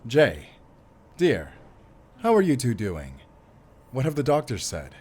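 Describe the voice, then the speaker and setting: A man's voice: mid-range voice, huge pitch swings, takes it slowly, darkish tone, husky, exaggerated voice. A man playing a courteous, genial father of a grown son in an audio drama. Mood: genial, concerned. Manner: polite, jovial.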